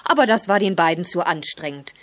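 A woman's voice: fairly low pitch, expressive, a little fast, natural voice. A woman narrating a children's audio play in a storytelling style.

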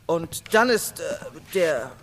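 A man's voice: on the high side, big pitch swings, takes it slowly, in a large hall. An actor playing an eager, easily flustered boy in a children's audio drama. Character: eager flustered boy adventurer, quick to explain.

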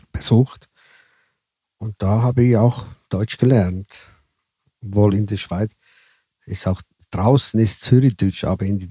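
A man from southern Italy speaking German with an Italian accent, telling his story haltingly as an interview guest. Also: on the low side, mellow, slightly rough, no room sound. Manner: halting, broken German with many fillers. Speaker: guest, bus driver. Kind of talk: casual interview chat.

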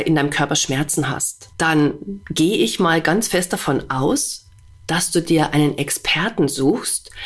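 A podcast host speaks with a stuffy, slightly congested voice, sitting a touch low in her range. She talks slowly and earnestly, putting rhetorical questions straight to the listener. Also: slightly rough. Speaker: animal communicator. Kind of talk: spontaneous monologue to listener.

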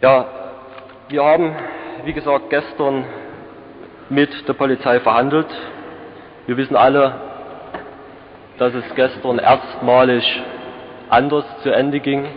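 Slow halting young man in echoey church. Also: medium pitch, fairly even intonation, a little hiss or hum. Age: 20s. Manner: halting, with hesitations. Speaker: student, assembly moderator. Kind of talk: public address at a citizens' assembly. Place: large church hall. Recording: echoey church hall, dull 1989 tape.

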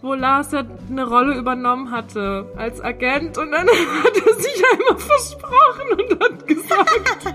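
A woman in her twenties co-hosting a podcast in casual chat, giggly and excitable. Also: high-pitched, a little slow, music in the background. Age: 20s. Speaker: podcast co-host.

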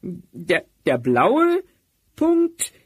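An actor playing an eager, clever youngster in a 1970s radio play, haltingly thinking aloud step by step. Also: male voice, high voice, huge pitch swings, background noise, heavily acted. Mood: concentrating, eager.

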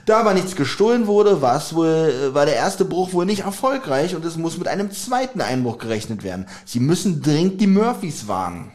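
A man's voice: a little high, big pitch swings, a little fast, hardly any pauses, a little mellow. A young man co-hosting a casual podcast, joking as he recaps a radio play and briefly acts out its characters. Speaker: podcast co-host.

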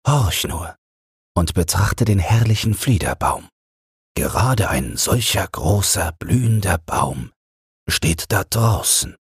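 Male audiobook reader for sleep stories: low, husky and breathy, slow and soothing, with lively intonation. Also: no room sound, studio quality. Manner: calm, soothing, measured. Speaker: audiobook narrator. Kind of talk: bedtime fairy tale narration.

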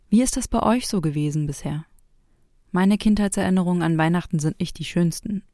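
A woman narrates as host in a personal style, addressing listeners directly. Her voice is dark and mellow, recorded very dry. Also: fairly low pitch, no room sound. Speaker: narrator and host of a homemade audio programme.

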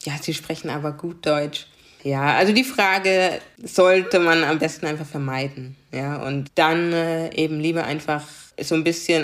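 A young adult woman from Karlsruhe, a diversity coach, explaining things earnestly in a conversational interview and often adding a questioning "ne?". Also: low voice. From Germany, Karlsruhe. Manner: earnest, explanatory, frequent "ne?" tags.

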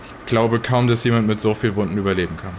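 A man playing a guilt-laden, evasive smuggler in an improvised audio drama, with terse, halting, resigned delivery. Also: fairly low pitch, slight background noise, lightly acted.

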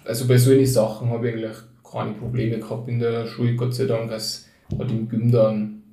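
A young man in his twenties with a Tyrolean accent, talking in a casual, reflective way and often pausing on fillers. His voice is mellow and gravelly, with little pitch movement. He sounds a bit distant from the mic, in a roomy echo. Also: little pitch movement. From born in Turkey, raised in Tyrol. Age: 20s. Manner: reflective, hesitant with many fillers. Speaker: guest. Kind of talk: casual conversation.

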